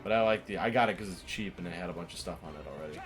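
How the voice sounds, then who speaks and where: A man's voice: low-pitched, very fast, faint music behind. A man co-hosting a gaming show, chatting casually and a bit sardonically as he nitpicks the game. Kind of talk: casual chat commentary over video game.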